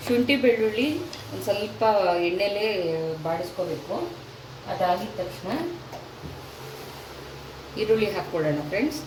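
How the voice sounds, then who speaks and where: A woman talks viewers through each step of a recipe in a casual, homely way. Her voice sits at a middle pitch. It echoes around the kitchen, and the sizzle of frying comes through clearly beneath it. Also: normal speed, long pauses, noisy background. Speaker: cooking channel host. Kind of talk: casual cooking demonstration. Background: frying and sizzling from the pan.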